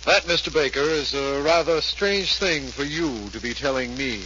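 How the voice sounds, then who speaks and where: An actor playing a proper, dryly polite district attorney in a 1940s radio crime drama. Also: male voice, fairly high pitch, lightly acted. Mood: polite, impatient. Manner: formal, dry, measured politeness. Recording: muffled, very noisy 1940s radio recording.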